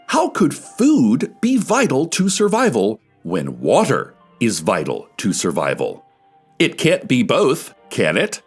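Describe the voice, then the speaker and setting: A man's voice: fairly low pitch, breathy edge, full character voice. A man voicing a pompous government announcer who reads a public-service message with fervent, rhetorical emphasis.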